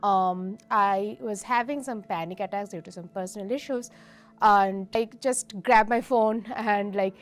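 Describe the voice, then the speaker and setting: A woman from India, a doctoral student, giving a calm interview account. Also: mid-range voice, a little slow. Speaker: PhD student in biology. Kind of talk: interview testimony. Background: soft music.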